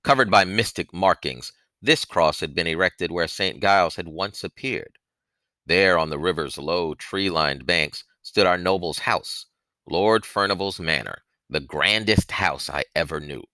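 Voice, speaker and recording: A man reading a novel aloud as expressive first-person narration, with deliberate, dramatic phrasing and emphatic stresses, close on a headset mic. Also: deep voice, no echo. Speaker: audiobook reader, book-reading host.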